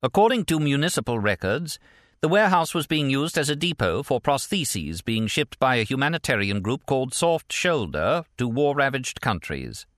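A man's voice: mid-range voice, big pitch swings, moderate pace, fairly bright tone, dry recording, clean studio sound, pitched above the performer's own voice. A man voicing a formal, declamatory male television news anchor in an audiobook. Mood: grave, emphatic.

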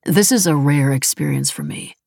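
A woman reader takes on a wealthy, calculating man in an audiobook novel. He is measured and deliberate, needling yet controlled. The voice is low and gravelly in a dry, close recording. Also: wildly swooping pitch, darkish tone, no echo, heavily acted.

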